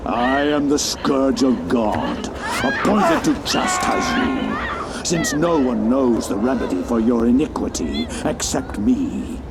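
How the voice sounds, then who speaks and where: A man playing a menacing, arrogant warlord in a video game, with a gravelly voice and a pompous, commanding, theatrical manner. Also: mid-range voice, very animated, slow, heavy background noise. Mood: grandiose fury. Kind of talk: scripted video game villain acting. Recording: loud music over the voice.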